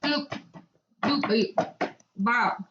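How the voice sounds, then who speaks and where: A woman's voice: on the high side, drawn-out words, background noise. A woman co-hosting a podcast, bantering and improvising in a casual, playful way. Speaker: podcast co-host. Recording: echoey room, dull lo-fi audio.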